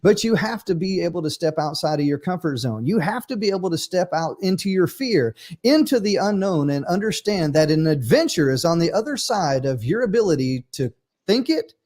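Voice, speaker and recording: A man's voice: sits high, lively intonation, fairly quick, darkish tone. A man hosting a livestream talk show, speaking earnestly and emphatically, often repeating himself for effect. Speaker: host.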